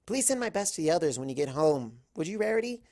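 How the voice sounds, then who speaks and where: A man's voice: on the high side, very animated, fast talker, a little mellow. A man voicing an earnest, bookish young female pony who is easily flustered and emphatic, in a story reading. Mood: friendly.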